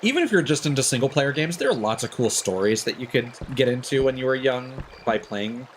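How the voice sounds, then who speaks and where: A man's voice: medium pitch, expressive, a little fast, a little hiss or hum. A man chatting casually on a livestream as its host, rambling through his opinions. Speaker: live streamer hosting a game stream.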